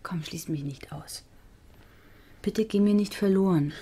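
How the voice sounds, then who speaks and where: An actress playing a wounded, pleading woman detective in a crime radio drama, narrating and speaking in a measured, hushed way. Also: lowish voice, husky. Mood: gentle pleading.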